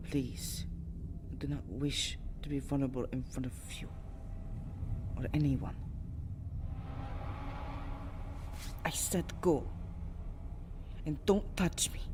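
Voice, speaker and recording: A strikingly deep female voice of an actress plays a haughty, seductive vampire in an intimate ASMR roleplay. She speaks slowly and breathily, often near a whisper, with stiff, formal wording that keeps her guarded. Clear ambient noise sits behind her. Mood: guarded, defensive. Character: proud, guarded, seductive vampire woman, cold yet vulnerable. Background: ambient background sound.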